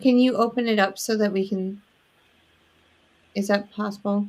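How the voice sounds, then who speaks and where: A woman chatting casually, giving brief, quietly supportive suggestions. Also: mid-range voice, fairly even intonation, moderate pace. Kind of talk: casual chat.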